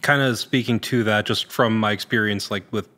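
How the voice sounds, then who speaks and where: A man in his thirties chatting casually as a podcast guest, halting and informal, with a self-deprecating manner. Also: fairly low pitch, steady tone, pushed and bright. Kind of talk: casual chat. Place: large indoor studio room. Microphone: boom-mounted studio mic.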